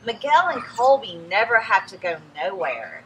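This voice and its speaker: A woman's voice: mid-range voice, sing-song, moderate pace, close to an everyday voice. A woman voicing a curious, complaining young boy in a children's storybook, whining and questioning, recorded outdoors. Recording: some background noise, outdoor ambience.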